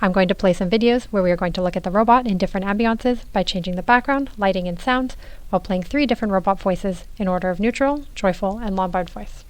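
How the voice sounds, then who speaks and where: A young woman, a PhD student, presenting a research demo in measured scripted narration. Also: mid-range voice, a little hiss or hum.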